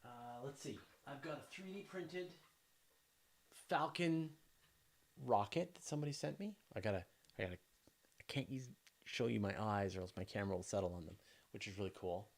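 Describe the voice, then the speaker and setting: A man's voice: mid-range voice, moderate pace, gravelly, long pauses. A man hosting a casual live question and answer session, talking informally and thinking aloud in broken phrases. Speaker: host of a space news channel.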